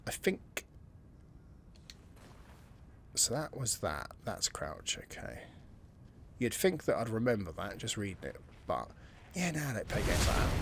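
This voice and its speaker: A man's voice: mid-range voice, gravelly, slight background noise. A man giving casual, chatty commentary while he plays a video game, thinking aloud and reading tutorial text as he goes. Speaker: gaming channel host.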